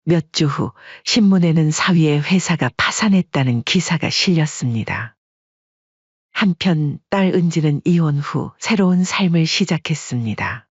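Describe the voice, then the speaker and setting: Husky woman narrating a story. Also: deep voice, no room sound, highs cut off. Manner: even, measured storytelling.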